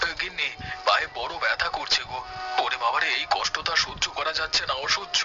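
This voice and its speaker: A man's voice: fairly high pitch, fast talker, lightly acted. A man voicing a hapless, complaining village mango farmer in a cartoon, moaning melodramatically. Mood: moaning, distressed. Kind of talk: cartoon voice acting. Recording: muffled audio with very loud background music.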